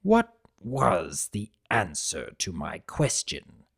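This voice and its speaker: A man performs an old male centaur villain in a dramatic storybook reading. The voice sits low and moves slowly, heavy with bitterness. The character broods like someone wounded and proud. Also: wildly swooping pitch, gravelly, husky, exaggerated voice. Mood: conflicted. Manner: slow, low, brooding delivery.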